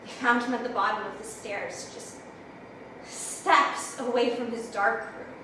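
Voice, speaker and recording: A woman performing a dramatic stage monologue as a grown woman haunted by memories, telling her story in a measured, reflective way. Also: fairly high pitch, steady tone, natural voice. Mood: somber, reflective. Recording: roomy echo, distant mic, faint noise.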